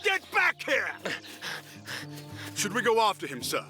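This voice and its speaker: High, barking henchman. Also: huge pitch swings, unhurried, sharp tone, soft background music, exaggerated voice. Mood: urgent. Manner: barking, urgent. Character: brusque, obedient male henchman of a lord. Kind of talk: audio drama acting.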